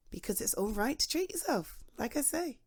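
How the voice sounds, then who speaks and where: A young woman with a British accent chats softly in an ASMR roleplay, reassuring a friend who feels guilty about treating themselves. Her voice moves lively up and down, and small laughs come often between her sentences. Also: fairly high pitch, husky. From Britain. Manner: chatty and reassuring, with frequent soft laughs.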